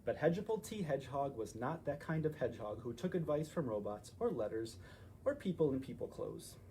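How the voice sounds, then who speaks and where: A man narrating a children's story in an animated style, as a story time reader. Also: medium pitch, fairly quick, a little hiss or hum.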